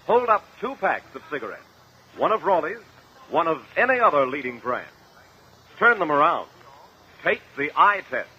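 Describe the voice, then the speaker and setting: A man's voice: fairly high pitch, big pitch swings, moderate pace, long pauses, breathy edge. A male announcer reading scripted copy in 1950s radio style, declarative and emphatic. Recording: dull, lo-fi vintage radio recording.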